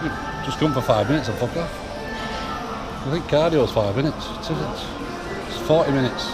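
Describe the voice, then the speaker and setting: A man's voice: expressive, moderate pace. A middle-aged man with a Northern English accent chatting casually and bluntly, with some swearing, as he vlogs on a handheld camera. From northern England. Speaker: gym vlogger. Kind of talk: casual rambling vlog chat. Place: large indoor gym with metal roof. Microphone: handheld action camera at arm's length. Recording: loud electronic gym music behind, action camera. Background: loud drum and bass music.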